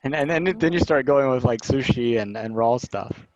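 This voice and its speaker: A man's voice: mid-range voice, normal speed, heavy background noise. A man interviewing a guest in a friendly, joking way, with hesitant starts. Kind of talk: casual video-call interview. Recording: dry, dull lo-fi audio.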